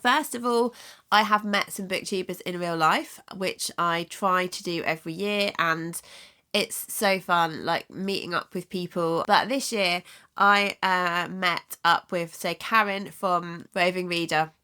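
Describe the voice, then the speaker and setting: Stuffy hoarse lady vlogging about books, chatty and rambly, lots of restarts, sharp bright tone. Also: on the low side, unhurried. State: bunged up and a bit hoarse from a cold. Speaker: booktube channel host. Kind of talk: casual chatty book vlog.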